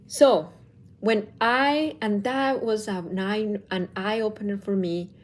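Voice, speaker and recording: A woman in her thirties speaks slowly and deliberately, like a parenting coach talking straight to her viewers. Her pitch sits in an ordinary middle range, and she often restarts a phrase to get it right. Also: fairly even intonation. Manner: slow, thoughtful, with restarts and self-corrections. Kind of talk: casual talk to camera.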